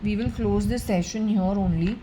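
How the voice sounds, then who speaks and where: A woman's voice: medium pitch, moderate pace, warm tone, slight background noise, low-quality recording. A woman with an Indian accent, giving a solo spiritual reading and speaking earnestly to an unseen spirit. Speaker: tarot and occult reader.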